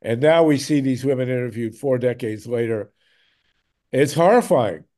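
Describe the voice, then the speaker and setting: A man's voice: mid-range voice, normal speed, mellow. A man hosting a talk show over a video call, speaking emphatically and repeating words when something moves him. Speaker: host.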